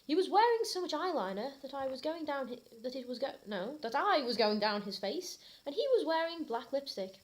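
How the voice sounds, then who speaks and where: A woman with a British accent reads a story aloud in a theatrical, comic style. Her voice is fairly high and very animated, swinging widely in pitch. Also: fairly quick, hardly any pauses, rough recording. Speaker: host and reader. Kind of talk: comic dramatic reading of fan fiction.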